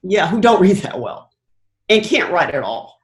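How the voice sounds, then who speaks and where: An older American woman telling anecdotes conversationally as an interview guest, thoughtful and pausing to find her words. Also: on the low side, very animated. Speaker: interview guest, broadcast producer. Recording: roomy, echoing sound.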